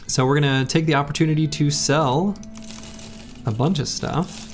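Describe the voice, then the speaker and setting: Slightly hoarse, gravelly-voiced male streamer. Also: on the high side, normal speed, slight background noise. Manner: rambling, chatty, greets chat viewers. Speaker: game streamer. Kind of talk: casual live-stream chat while playing. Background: faint game music.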